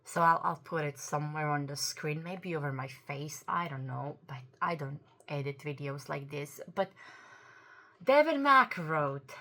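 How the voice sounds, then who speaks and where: A woman whose first language is Croatian talks through her opinion in an unhurried, deliberate way. Her voice is bright and forward, and she sounds earnest as she hunts for the right words and corrects herself. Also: sits low, lively intonation. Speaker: YouTube commentator. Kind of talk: opinion commentary to camera.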